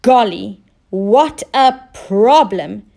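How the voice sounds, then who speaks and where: A woman voicing an excitable, eager young male dock helper in a children's storybook, calling out in exclamations. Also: medium pitch, very slow, vocal fry, exaggerated voice. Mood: alarmed.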